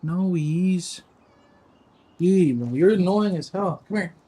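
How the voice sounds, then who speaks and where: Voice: mid-range voice, slow pace, dark tone, slightly rough. A live game streamer chatting casually, with informal, muttered reactions during play. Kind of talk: casual gaming livestream chat.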